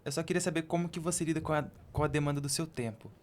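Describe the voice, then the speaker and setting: Woman, Brazilian Portuguese accent, deep register, rapid-fire pace, casual podcast co-interviewer. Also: fairly even intonation, a little mellow. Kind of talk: casual chat.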